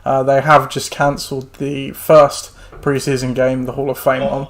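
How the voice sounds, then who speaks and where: A man hosting a sports podcast in casual chat, rambling with many fillers and restarts, a little hoarse. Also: mid-range voice, monotone, moderate pace. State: hoarse, losing his voice. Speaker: podcast host.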